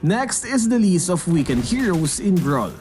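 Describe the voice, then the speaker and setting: Animated gaming narrator over clear music. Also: medium pitch, moderate pace. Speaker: gaming channel host. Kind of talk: scripted patch-notes narration.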